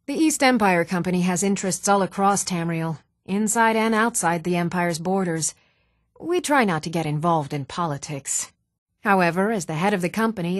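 A woman playing poised, articulate townswomen and noblewomen in a fantasy video game, with measured, formal delivery. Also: medium pitch, no room sound, clean studio sound, lightly acted. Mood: polite, composed. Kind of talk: scripted fantasy game dialogue.

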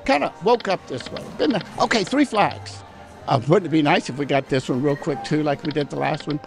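An older man with a hoarse, raspy voice, chatting casually to viewers while playing slots in a casino. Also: fairly high pitch, a little fast. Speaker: slot machine vlogger. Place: busy casino floor. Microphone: handheld microphone in front of him. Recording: casino slot machine music behind. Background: slot machine music and sounds.

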